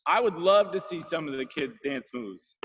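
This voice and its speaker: A man's voice: on the high side, animated, normal speed, fairly bright tone, slight background noise, rough recording. A man talking casually with children as the guest reader at a read-aloud. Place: video call.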